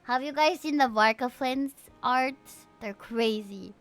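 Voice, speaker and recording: Girl streamer w a high voice, gushy fangirl chatting over game music. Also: unhurried, a bit bright. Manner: gushing, playful fangirl. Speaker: livestreamer playing a video game. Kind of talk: casual livestream chat and reading game dialogue. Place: livestream over game audio.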